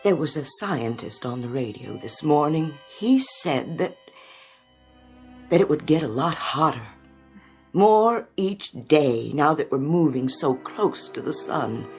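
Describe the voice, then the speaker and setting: A kindly, anxious woman in a radio drama. Her voice is on the low side and very unhurried. She speaks softly and hesitantly, with a gentle, grateful manner. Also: animated, soft background music, full character voice. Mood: fearful, faltering. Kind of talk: audio drama acting.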